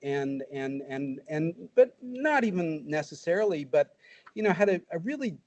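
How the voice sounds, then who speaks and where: A man in his sixties, from Southeast Asia, speaking haltingly with many fillers in a casual panel discussion. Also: on the high side, expressive, darkish tone, no room sound. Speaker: guest, former intelligence analyst.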